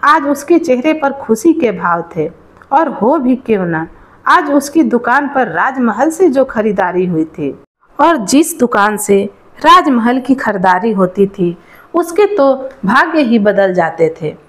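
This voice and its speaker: A woman's voice: on the high side, very animated, unhurried. A woman reading a scripted moral folk tale aloud as a storyteller.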